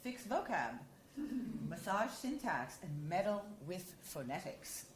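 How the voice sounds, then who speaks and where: A woman editor reading poetry aloud at a symposium, measured and deliberate. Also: lowish voice, very animated. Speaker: anthology editor and reader. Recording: roomy hall with faint hiss.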